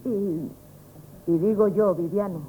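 A woman's voice: mid-range voice, slow, phone-quality sound, natural voice. An actress playing a meek, modest servant girl in a 1970s radio play. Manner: meek, timid. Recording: old radio broadcast with faint hiss.

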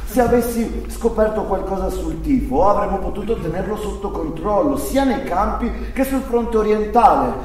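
Very echoey stage sound, actor as self-justifying ex-military doctor, insistent, high-pitched, defensive, theatrical. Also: male voice, animated, normal speed, few pauses, a little mellow. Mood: self-justifying, forceful. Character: defensive former Nazi camp doctor, self-justifying father. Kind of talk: theatrical monologue, quoted character. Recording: echoey hall, distant mic, faint noise.

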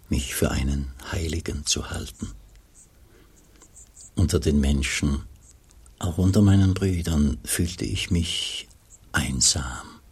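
An actor playing an old, pious, melancholy abbot in a German radio play, speaking gravely and solemnly as he looks back, with a gravelly, husky voice. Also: a bass voice, exaggerated voice. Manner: slow, solemn, measured storytelling.

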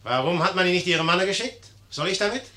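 An actor playing a young, proud, hot-tempered general in a theatrical 1950s German radio play, sardonic and imperious in manner. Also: male voice, sits high, expressive, quick pace, sharp tone. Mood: suspicious.

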